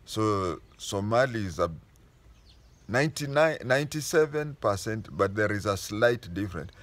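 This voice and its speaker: A man with a Kenyan accent talks through history like a patient storyteller. His voice sits fairly low. He pauses often, restarting phrases to set the record straight as he goes. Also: lively intonation, fairly bright tone. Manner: unhurried storytelling, stopping to restate and correct himself. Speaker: history explainer. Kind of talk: casual spoken history lesson.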